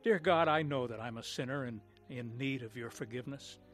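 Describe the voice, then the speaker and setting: A man's voice: mid-range voice, fairly quick, faint music behind. A man with an American accent giving a scripted evangelistic appeal and prayer as a program announcer, earnest and solemn.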